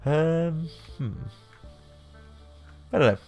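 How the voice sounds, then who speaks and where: A young man with a sore, croaky throat, rambling casually through let's-play commentary with lots of fillers. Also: on the high side, expressive, very slow, darkish tone, faint music behind. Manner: rambling, self-deprecating, with many fillers. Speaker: gaming YouTuber.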